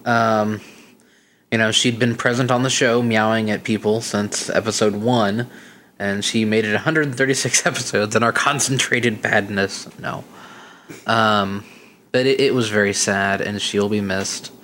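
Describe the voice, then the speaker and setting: A man's voice: on the low side, steady tone, unhurried, a bit bright, a little hiss or hum. A man co-hosting a podcast, chatting casually and reading listener emails aloud with frequent laughs. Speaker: podcast co-host.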